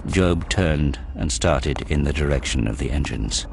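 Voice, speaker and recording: A man's voice: rumbling low, animated, unhurried, creaky, noisy background. A man giving dramatic scripted narration for an audio drama, with music behind his voice.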